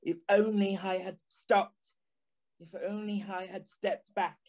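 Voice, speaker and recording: A man's voice: high-pitched, little pitch movement, slow pace, vocal fry, darkish tone, heavily acted. A man playing a haunted, remorseful journalist, narrating an audio play with brooding, theatrical phrasing. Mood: anguished regret. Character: haunted, remorseful journalist recalling an eerie encounter. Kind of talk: dramatic first-person audio play narration.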